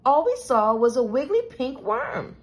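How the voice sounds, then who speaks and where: A woman reading a children's storybook aloud with expressive, deliberate delivery. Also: sits high. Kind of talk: scripted children's storybook narration.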